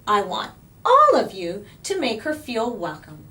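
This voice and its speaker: A woman's voice: on the high side, huge pitch swings, measured pace, bright, forceful tone, full character voice. A woman voicing fed-up, commanding schoolteachers addressing a class in a children's storybook. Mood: announcing, firm. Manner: emphatic, commanding. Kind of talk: children's storybook read-aloud.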